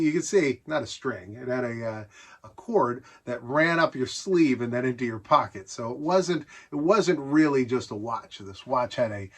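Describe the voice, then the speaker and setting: Middle-aged American man with a husky voice. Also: medium pitch, fairly bright tone. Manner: conversational, rambling, enthusiastic. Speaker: presenter showing a collection of vintage gadgets. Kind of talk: casual nostalgic show-and-tell talk.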